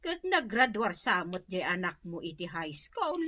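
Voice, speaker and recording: A woman's voice: lowish voice, a little slow, full character voice. A woman with a Filipino accent playing a gossipy neighbor in a comedic radio drama. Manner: gossipy, lively.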